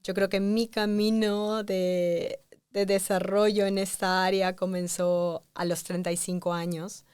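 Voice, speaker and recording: A woman in her forties, a doctor guest on an interview, speaking calmly and reflectively. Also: medium pitch, a little slow, clear, resonant tone, dry recording, clean studio sound. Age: 40s. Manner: calm, reflective, explains thoughtfully.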